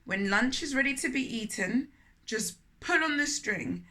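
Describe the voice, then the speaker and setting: A woman voicing a greedy, eager male spider from a children's storybook, in an expressive, self-satisfied way. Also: sits high, unhurried, heavily acted. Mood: eager, scheming.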